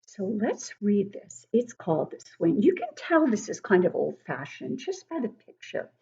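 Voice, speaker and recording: An older woman giving an interactive read-aloud lesson for young children, encouraging and expressive, asking questions and explaining things simply. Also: medium pitch, vocal fry, slightly rough, lo-fi sound. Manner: encouraging, asks questions and pauses to praise answers. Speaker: children's book club teacher reading poems aloud.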